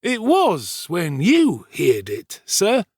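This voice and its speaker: A man voicing a pompous, self-important male servant in a storybook reading, with drawn-out, emphatic delivery. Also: sits high, huge pitch swings, breathy edge, dry recording, studio quality, voice pushed up, exaggerated voice. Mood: dramatic, grave.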